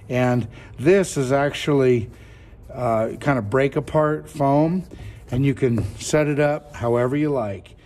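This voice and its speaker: A middle-aged man walks through a product demo in a relaxed, chatty way, narrating each step as he goes. He repeats himself often and talks like he is showing a friend. His voice sits at a middle pitch, with a faint hum of room noise behind him in a classroom. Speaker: product reviewer. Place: classroom at a table.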